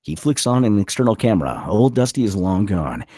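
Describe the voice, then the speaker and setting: A man reads screenplay stage directions in a low, rough-edged voice. His intonation moves around in an animated way as he narrates each scene. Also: fairly quick, no room sound, clean studio sound.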